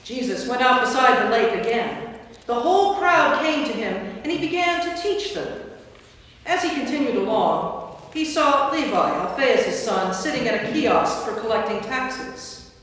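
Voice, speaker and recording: Female narrator, measured storytelling for a staged scripture reading. Very reverberant church, distant mic. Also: a little high, animated, fairly bright tone. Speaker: narrator, Episcopal priest. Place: large church interior.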